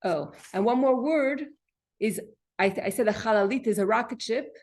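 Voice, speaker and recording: A woman teaching an informal class, explaining word meanings haltingly, repeating and rephrasing her points and putting questions to her listeners. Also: a little high, darkish tone. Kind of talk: informal spoken lesson explaining Hebrew vocabulary.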